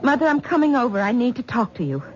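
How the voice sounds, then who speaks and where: An actress playing an anxious young wife who pleads urgently, heard in a dull 1970s radio drama broadcast. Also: female voice, on the high side, very animated, fast, a little mellow, a little hiss or hum.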